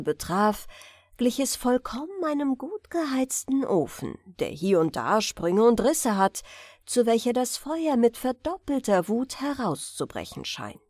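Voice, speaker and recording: A woman narrating a fairy-tale audiobook in an expressive, deliberate storytelling manner. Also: a little high, no room sound, studio quality.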